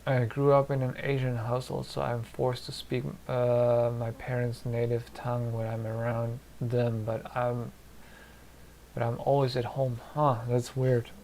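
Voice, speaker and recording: A man's voice: mid-range voice, flat delivery, a little slow, darkish tone, slight background noise, low-quality recording. A man in his thirties from Germany with a German and Polish accent, chatting casually on a live stream from his desk and thinking aloud with many fillers. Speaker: streamer answering chat. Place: small home studio at a desk.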